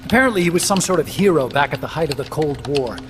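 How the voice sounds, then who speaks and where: Eager male weapons scientist, highish voice, emphatic lecturer, game cutscene, music underneath. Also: lively intonation, quick pace, fairly bright tone. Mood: matter-of-fact explaining. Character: eager, talkative male weapons scientist explaining his machines. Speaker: weapons engineer character. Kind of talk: dramatic game cutscene dialogue. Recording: long reverberant hall, music underneath. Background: prominent background music.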